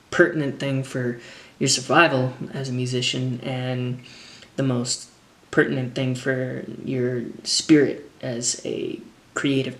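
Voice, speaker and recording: Slow, gravelly American man, halting. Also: medium pitch. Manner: halting, thoughtful, pausing to formulate answers. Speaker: drummer answering questions. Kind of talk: casual unscripted Q&A talk. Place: indoors, open kitchen-dining room at a table. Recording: echoey room.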